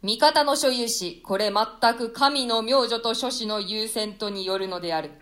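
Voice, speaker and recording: A woman's voice: a little high, measured pace, a bit bright. A woman playing a pious, victorious noble leader in a classical play, declaiming in a solemn, formal way. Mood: triumphant. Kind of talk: classical stage-play declamation in archaic Japanese.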